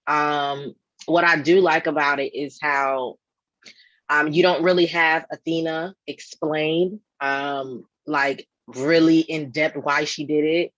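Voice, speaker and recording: A woman with a fairly low voice gives casual, reflective feedback, piecing her thoughts together as she speaks. The sound is very dry and a little dull, like limited-bandwidth audio. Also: fairly even intonation, slow, a bit bright, no room sound.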